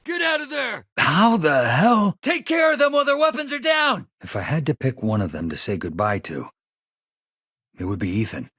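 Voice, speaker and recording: An actor playing an earnest, anxious spaceship engineer in a video game, with a husky voice and a hesitant manner. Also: male voice, fairly high pitch, huge pitch swings, moderate pace, sharp tone, dry recording, studio quality, full character voice. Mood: urgent, excited. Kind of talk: video game character dialogue.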